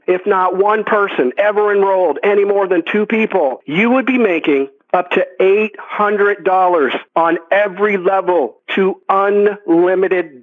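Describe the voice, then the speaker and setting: A man hosting a sales conference call, pitching earnestly and emphatically to members. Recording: tinny phone conference line.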